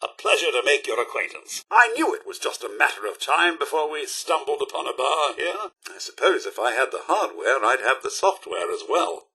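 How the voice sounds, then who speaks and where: An actor playing a fussy, polite and loyal robot butler in a video game, with a British accent and formal, prim, theatrical delivery. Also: male voice, a little high, big pitch swings, moderate pace, bright, forceful tone, husky, exaggerated voice, low-quality recording. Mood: courteous, attentive. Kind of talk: video game companion dialogue.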